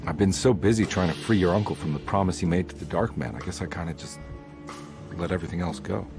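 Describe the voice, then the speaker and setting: Worn out haunted detective, lowish voice, terse and sardonic, horror game cutscene w music. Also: male voice, fast talker, gravelly. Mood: dogged, troubled. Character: weary, haunted male private detective, dogged and sardonic. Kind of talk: dramatic video game cutscene acting. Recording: music under the voice.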